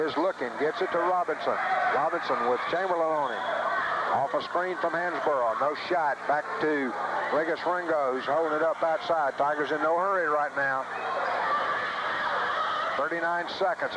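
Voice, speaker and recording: A man giving live high school basketball radio play-by-play in clipped, excitable phrases on an old local broadcast recording. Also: very noisy.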